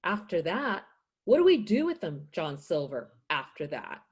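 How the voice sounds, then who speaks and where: A woman as a pushy, lively pirate sailor. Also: fairly low pitch, measured pace. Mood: probing, insistent. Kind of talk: radio play acting.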